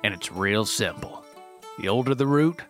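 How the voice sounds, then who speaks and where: A man reading a scripted documentary-style story in a deadpan, measured, mock-serious manner. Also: on the low side, soft background music. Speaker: writer and narrator.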